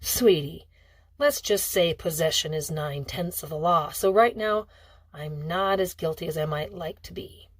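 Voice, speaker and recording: A woman's voice: fairly low pitch, normal speed, no echo, lightly acted. A woman voicing an affectionate, roguish middle-aged father in an audiobook, with a casual, teasing, evasive manner. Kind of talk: audiobook character dialogue.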